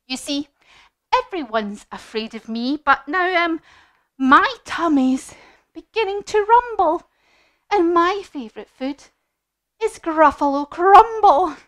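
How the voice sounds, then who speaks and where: Little storybook mouse, played by a woman: high, swooping, cheeky and boldly boastful. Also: expressive, takes it slowly, fairly bright tone, heavily acted. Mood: triumphant, cheeky. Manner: bold, boastful, emphatic. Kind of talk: children's storytelling.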